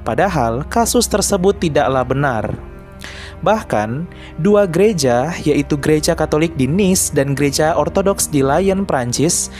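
A man with a slightly higher than usual voice delivers a scripted news voice-over in a measured, earnest way. Soft music plays quietly underneath him. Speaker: channel narrator. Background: faint background music.

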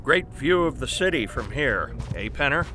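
An actor playing a demanding, self-important newspaper editor with a curt, commanding manner in an audio drama. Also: male voice, mid-range voice, very animated, moderate pace, over music, natural voice. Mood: smooth, persuasive. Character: brusque, demanding male newspaper editor boss.

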